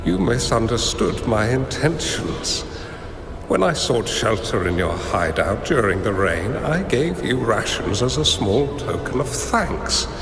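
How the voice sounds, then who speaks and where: Slow, gravelly old hermit master. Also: male voice, mid-range voice, very animated, background noise. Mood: calm, kindly. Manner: measured, philosophical, formal. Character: calm, wise old male hermit master, gently stern. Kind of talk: video game voice acting. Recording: reverberant hall echo, music behind. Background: music.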